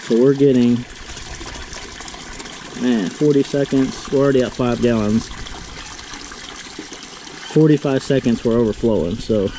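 Man, mid pitch, casual how-to talk, noisy outdoor camera audio. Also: unhurried, pauses often, warm tone. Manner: chatty, talks while working, addresses viewers. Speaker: pressure washing business owner. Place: outdoors under a metal carport shelter. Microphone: handheld action camera, point of view. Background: rain and running water.

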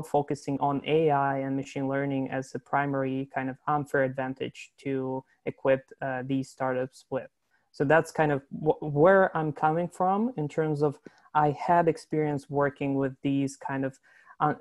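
Flat-voiced man with a lilt. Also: on the high side, no room sound. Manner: flat, measured, pauses with fillers. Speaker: entrepreneur, founder. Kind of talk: casual video call intro. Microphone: video call.

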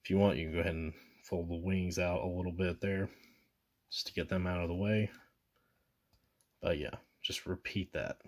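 A man's voice: low voice, fairly even intonation, normal speed, pauses often. A man reviewing a toy at a table, casually talking viewers through each step with fillers and self-corrections. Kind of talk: casual hands-on toy demonstration. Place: indoors at a table with a posterboard backdrop.

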